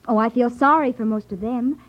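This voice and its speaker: Woman playing a spirited, high-voiced sarcastic secretary. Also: brisk, lightly acted. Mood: disapproving. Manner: outspoken, sarcastic. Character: spirited, nagging, sarcastic female detective's secretary. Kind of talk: 1950s radio drama acting. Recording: dull lo-fi 1950s radio recording.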